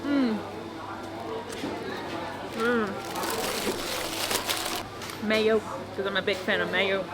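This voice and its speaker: A woman's voice: medium pitch, drawn-out words, loud music behind the voice. A young woman whose first language is Spanish, chatting casually to camera in a vlog, teasing herself and laughing often. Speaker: vlogger.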